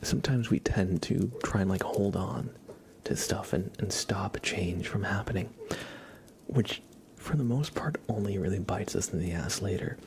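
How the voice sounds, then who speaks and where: A young adult man speaking softly and gently as an ASMR livestreamer, half whispering as he chats casually to viewers. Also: deep voice, normal speed, slight background noise. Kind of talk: soft-spoken ASMR, casual chat with viewers.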